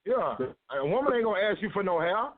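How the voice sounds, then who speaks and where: A man hosting a casual radio chat, talking in a loud, preachy, teasing way. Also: high-pitched, expressive, quick pace. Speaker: show host. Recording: dry, phone-like lo-fi line.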